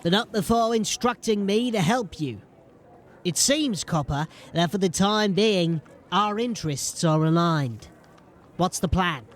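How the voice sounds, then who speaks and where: Fantasy underworld heavy: high-set voice, very unhurried, wary and ominous. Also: male voice, animated. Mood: guarded. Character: wary, tough male gang lieutenant in a fantasy city. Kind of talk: fantasy audio drama acting.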